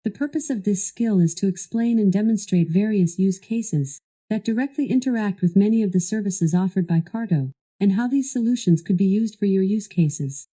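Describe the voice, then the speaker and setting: A woman's voice delivering scripted instructional narration as a voice assistant, in a measured, even and formal way. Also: medium pitch. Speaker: voice assistant guiding a product demo.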